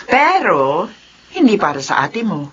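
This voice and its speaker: A woman's voice: mid-range voice, sing-song, a little slow, breathy edge. A woman playing a doting, emotional mother in a Filipino radio drama, coaxing and affectionate. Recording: dull radio drama recording, faint noise.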